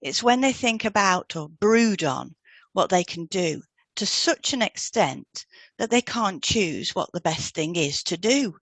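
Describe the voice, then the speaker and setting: A woman's voice: mid-range voice, lively intonation, unhurried, no echo. A woman co-hosting a podcast, explaining therapy techniques in a scripted dialogue. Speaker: podcast co-host, hypnotherapist.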